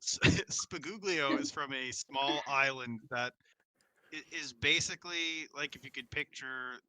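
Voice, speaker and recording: Dude at a game table, super bright punchy voice, telling a jokey story casually. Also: male voice, medium pitch, steady tone, unhurried, low-quality recording.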